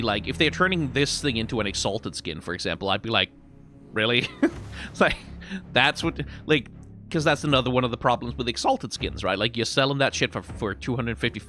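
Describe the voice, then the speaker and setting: A man giving casual, off-the-cuff reaction commentary in an animated, colloquial, sardonic way with some swearing. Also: medium pitch. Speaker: reaction video host, commentator.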